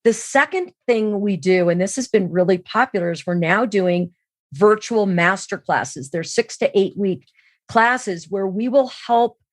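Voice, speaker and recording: A woman's voice: mid-range voice, animated, a little slow. Woman guest expert chatting n explaining stuff step by step, stresses key words, dry sound no room echo. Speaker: podcast guest, sales trainer and keynote speaker. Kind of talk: conversational expert interview.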